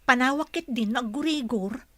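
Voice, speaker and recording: A woman's voice: sits high, moderate pace, pushed and bright, dry recording. A woman with an Ilocano accent acting a caring, dutiful young wife in a radio drama. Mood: respectful, kindly.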